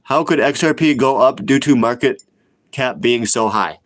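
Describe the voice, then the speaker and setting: A man's voice: mid-range voice, moderate pace, a bit bright. A man of about forty with a cold, chatting casually on a livestream in a blunt, opinionated way and repeating himself for emphasis. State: sick with a cold, sniffling. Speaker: livestream host, retail investor. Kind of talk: casual livestream chat, answering viewer comments.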